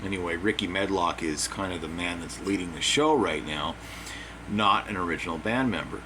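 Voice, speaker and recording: A man with a hoarse, dry voice giving a casual, opinionated monologue as a music commentator, plain-spoken and repeating his points. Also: sits low, normal speed. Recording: air conditioner hum behind.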